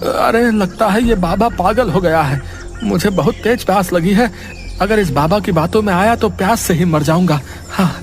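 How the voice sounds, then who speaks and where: A man's voice: high voice, moderate pace. A man voicing a tired, thirsty shepherd in a cartoon story who talks to himself. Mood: dismissive. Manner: muttering to himself, weary. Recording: background music.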